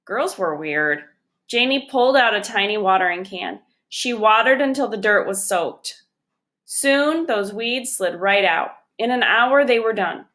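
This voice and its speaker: Bright, lively woman reading a children's story. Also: medium pitch. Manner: expressive, deliberate delivery. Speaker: storybook reader. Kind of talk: read-aloud narration of a children's chapter book.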